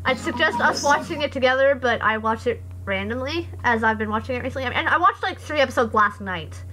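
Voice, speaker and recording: Young woman, high voice, hoarse, rambling and sarcastic, live-stream chat. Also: lively intonation, fairly quick, bright, forceful tone, slight background noise, low-quality recording. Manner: self-described sarcastic, rambling and chatty. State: hoarse after voice acting. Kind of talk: casual live-stream chat, reading comments aloud.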